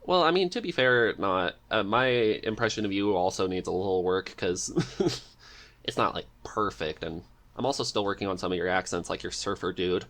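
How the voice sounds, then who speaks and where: A man's voice: low-pitched, animated, normal speed, fairly bright tone. A man hosting a podcast in a casual, jokey chat style. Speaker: podcast host.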